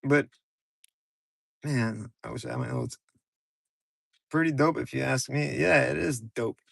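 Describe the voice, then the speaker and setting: A man's voice: medium pitch, wildly swooping pitch, a little slow, gravelly, a bit bright, no room sound. A man from Ukraine hosting a casual, rambling livestream from his car on his phone, talking loose and slangy straight to his viewers. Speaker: livestream host.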